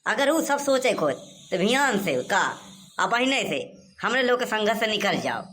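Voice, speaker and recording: A woman's voice: mid-range voice, sing-song, normal speed, a bit bright, slight background noise. A woman voicing a preachy, good-natured village elder man in a comic cartoon, with an Awadhi accent. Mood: preaching.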